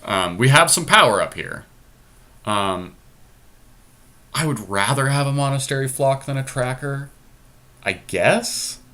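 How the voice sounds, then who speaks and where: A man's voice: mid-range voice, animated, measured pace, pauses often, pushed and bright. A man doing casual think-aloud commentary on a card game draft, decisively explaining his reasoning as he goes.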